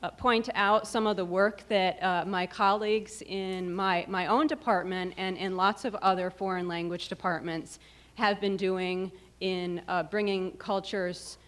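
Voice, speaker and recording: A woman faculty member giving panel discussion remarks in a measured way, pausing often on fillers. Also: medium pitch, fairly even intonation. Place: lecture room.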